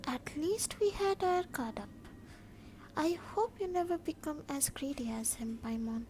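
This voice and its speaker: A woman's voice: high-pitched, expressive, a little slow, darkish tone, slight background noise. A woman chatting casually as she live streams a game. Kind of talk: casual live stream chat, mixing Hindi and English. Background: game audio.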